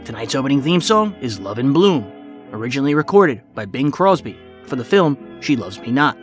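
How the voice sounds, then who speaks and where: A man narrates a documentary podcast from a script. His mid-range voice is measured and clearly phrased, with faint music underneath. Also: medium pitch, sing-song. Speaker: podcast host.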